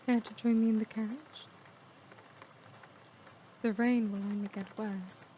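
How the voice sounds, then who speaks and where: A woman voicing an elegant, caring vampire lady in a soft-spoken ASMR roleplay, speaking gently with formal, old-world courtesy. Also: medium pitch, a little hiss or hum, natural voice, rough recording. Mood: gentle, welcoming. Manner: genteel, gentle, doting, formal wording.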